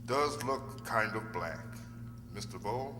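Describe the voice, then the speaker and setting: Crisp, forceful lawyer. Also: male voice, on the low side, animated, a little slow, slight background noise. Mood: grave, probing. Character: firm, measured, probing defense lawyer. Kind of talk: 1940s radio drama acting. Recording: reverberant old radio recording.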